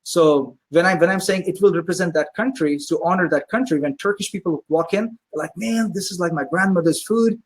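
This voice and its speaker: A man who owns a pizzeria, telling stories as a guest in a casual interview, animated and full of fillers. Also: high voice, a little fast, few pauses, slightly rough. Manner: animated storyteller, many fillers.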